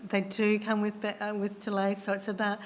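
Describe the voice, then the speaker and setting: Hoarse woman, flat steady delivery. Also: medium pitch. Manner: measured, with frequent ums and restarts. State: losing her voice. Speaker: council officer answering councillors. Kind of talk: formal meeting answers.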